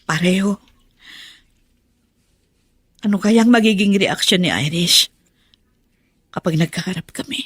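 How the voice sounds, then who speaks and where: A woman's voice: lowish voice, unhurried, pauses often, husky. A woman playing a weary, forgiving, worried mother in Filipino radio drama, sighing and emotional. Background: music under the voice.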